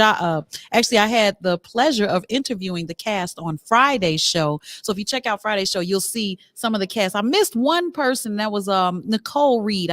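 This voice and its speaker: A woman's voice: mid-range voice, a bit bright, dry recording. Chatty woman hosting a live show, close dry sound. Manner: chatty, conversational, talks directly to viewers. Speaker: talk show host.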